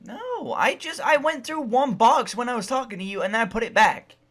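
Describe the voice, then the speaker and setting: Animated, bright, higher-voiced man, exasperated and sweary. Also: normal speed. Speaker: co-host of a visual novel rant show. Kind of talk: casual banter between friends.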